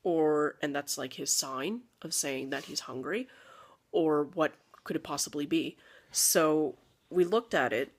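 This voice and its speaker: A woman's voice: low-pitched, unhurried. A young woman, not a native English speaker, chatting casually to camera as a parenting vlogger, rambling with fillers and asides.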